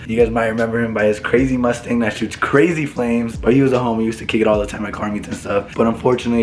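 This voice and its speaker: A young man vlogging casually to his viewers, slangy and relaxed, sick and losing his voice. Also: lowish voice. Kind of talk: casual vlog talk to camera.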